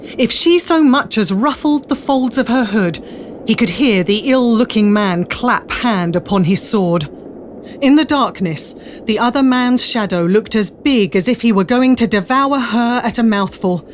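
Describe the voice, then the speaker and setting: A woman's voice: a little high, animated, unhurried. A woman giving a dramatic scripted narration of a period adventure story. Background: faint noise and ambience.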